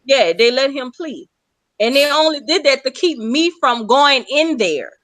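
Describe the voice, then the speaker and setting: Lively high-voiced woman, earnest. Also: a little slow, no room sound. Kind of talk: casual call-in conversation.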